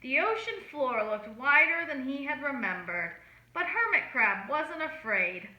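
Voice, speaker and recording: A woman reading a picture book aloud to children in an animated, deliberate storytelling manner. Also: high voice. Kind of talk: expressive children's read-aloud. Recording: roomy, echoing room.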